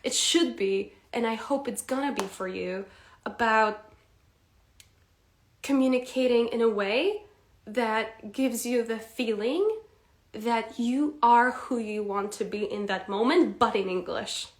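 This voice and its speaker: A young woman coaches English live, chatty and quick to laugh as she speaks to her viewers. Her voice sits a little high. The room gives her an echoey ring. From Poland. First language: Polish. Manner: lively, laughs often, addresses viewers directly. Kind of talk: live-stream teaching chat.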